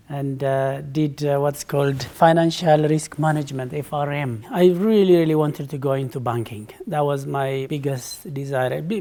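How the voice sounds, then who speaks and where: A man's voice: a little high, dark tone. A middle-aged man from Ethiopia, a guest in a conversational interview, who answers thoughtfully with frequent fillers and restarts.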